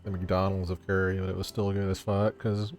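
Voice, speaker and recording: A man's voice: low voice, flat delivery, fairly quick, darkish tone, a little hiss or hum. A man giving casual gameplay commentary as a streamer, offhand, slangy and full of swearing. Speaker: game streamer. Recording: game music behind the voice.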